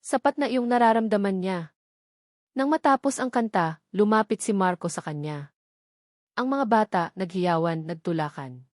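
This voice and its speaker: A woman's voice: mid-range voice, normal speed, pauses often, darkish tone, no room sound, studio quality. A woman with a Filipino accent reading a scripted romantic story as an audiobook narrator.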